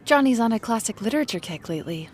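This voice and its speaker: Woman playing a blunt, lively teenage girl. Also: on the high side, fast, slight background noise, natural voice. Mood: flustered, defensive. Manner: blunt, flustered. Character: blunt, defensive teenage high school girl. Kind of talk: audio drama acting.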